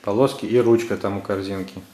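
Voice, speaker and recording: A man's voice: on the low side, fairly even intonation, fairly quick, dark tone. A man, a father talking casually to his children, giving them simple, encouraging, repeated instructions as they do a craft. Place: indoors, living room at home. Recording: roomy home recording with noise.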